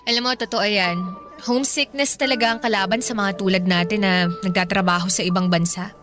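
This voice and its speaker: A woman's voice: mid-range voice, steady tone, fairly quick, over music, lightly acted. A woman playing a cheerful, easygoing young nurse with a Filipino accent in a radio drama. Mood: amiable. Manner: amiable, easygoing.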